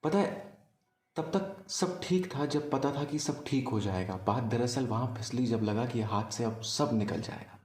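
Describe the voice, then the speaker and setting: A man performing a poetic love monologue as a storyteller, in a wistful, deliberate manner with pauses. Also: medium pitch, a little mellow, reverberant. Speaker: spoken-word storyteller. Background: music.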